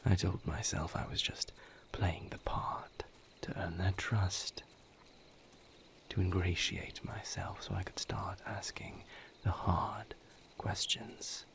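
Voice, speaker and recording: Man as a whispering, gravelly male vampire, brooding. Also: low-pitched, a bit bright, full character voice. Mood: weary, regretful. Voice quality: breathy, gravelly near-whisper. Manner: halting, somber, confiding. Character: brooding male vampire lover recounting his grim past. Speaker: audio roleplay performer. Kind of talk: intimate dramatic audio roleplay monologue.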